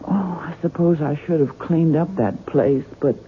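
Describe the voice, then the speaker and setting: An actress playing a gentle, bereaved woman, wistful and hesitant, in 1970s radio drama style. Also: low-pitched, husky, exaggerated voice. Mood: wistful, subdued. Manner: hesitant, halting, polite. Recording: 1970s radio broadcast, narrow phone-like band.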